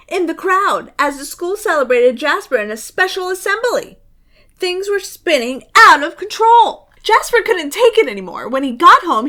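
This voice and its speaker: Female storyteller, high bright voice, unhurried, suspenseful children's read-aloud, theatrical delivery. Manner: expressive, suspenseful, often dropping to a dramatic whisper. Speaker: children's book reader.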